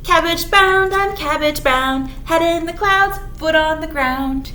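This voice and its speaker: A woman's voice: very high-pitched, slow, sharp tone, reverberant, soft background music, pitched above the performer's own voice, heavily acted. A woman voicing a plucky, determined little male snail in a picture-book read-aloud, declaring things earnestly. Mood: cheerful singsong.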